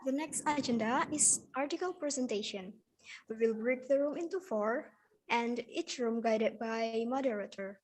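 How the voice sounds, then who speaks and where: Female webinar MC, formal announcer style, voice a touch high. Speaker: master of ceremony. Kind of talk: reading agenda announcements.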